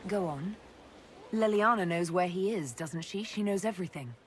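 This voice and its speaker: A woman's voice: on the low side, normal speed, a little hiss or hum, close to an everyday voice. A woman playing a level-headed, plain-spoken leader and confidante in a video game. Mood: direct, probing.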